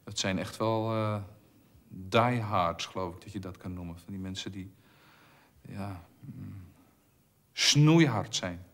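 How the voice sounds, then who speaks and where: A middle-aged man, a theatre director, talking thoughtfully and haltingly into a lapel microphone. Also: deep voice, a bit bright. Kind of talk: interview talk and rehearsal feedback. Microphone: clip-on lapel microphone.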